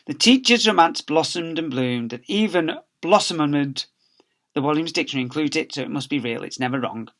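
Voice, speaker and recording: A man's voice: on the high side, animated, normal speed, fairly bright tone. A man, a teacher, reading a storybook aloud to young children with playful, theatrical emphasis.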